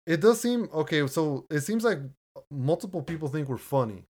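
A man with a deep voice, co-hosting a casual podcast and reading listener reviews in an easygoing way, laughing often and restarting his words. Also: lively intonation, moderate pace, darkish tone. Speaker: podcast co-host. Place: home room, recording remotely.

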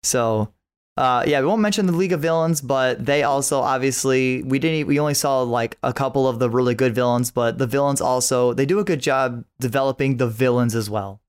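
A man's voice: mid-range voice, fairly quick, clean tone. A man speaking as a podcast guest in a casual fan discussion, keen and often repeating his phrases as he goes.

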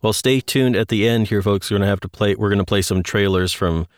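A man with a very low voice chats casually as a podcast host. The sound is close and dry. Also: brisk, smooth, dry recording. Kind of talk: casual interview chat.

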